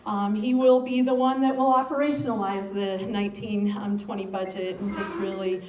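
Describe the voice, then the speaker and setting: An American woman addresses a local gathering as school superintendent, pausing often with ums. Her voice sits mid-range and is picked up from a distance in an echoey room, with a faint hiss underneath. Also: mid-range voice, little pitch movement. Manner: frequent ums, speaks of having a loud voice. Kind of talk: public speech to a civic gathering. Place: meeting room.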